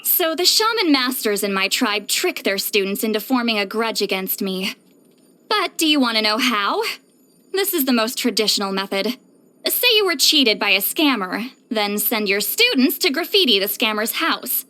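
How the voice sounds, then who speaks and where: Casting: reclusive female shaman for a video game; bright, very animated delivery, touchy, theatrical, easily flustered. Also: on the high side, normal speed. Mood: indignant. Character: prickly, flustered, reclusive female shaman who loves novels and wine. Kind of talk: English video game character voice lines.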